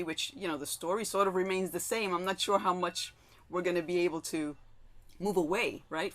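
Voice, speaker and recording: A woman whose first language is Spanish moderates a panel discussion in a somewhat low voice. She speaks in a loose, conversational way, with plenty of "um"s and a habit of checking in with "right?" after her points. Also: fairly bright tone. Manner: frequent "um" and tag "right?".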